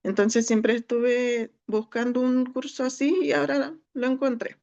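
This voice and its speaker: A woman's voice: a little high, flat delivery, unhurried, darkish tone. A woman introducing herself plainly and modestly as a student in an online class. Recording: dull lo-fi audio.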